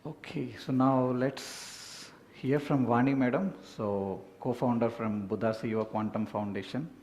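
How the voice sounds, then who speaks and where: A man's voice: fairly even intonation, unhurried, a little mellow, distant mic. A man hosting a retreat session, politely introducing speakers with short prompts. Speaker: host. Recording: echoey hall with rumble.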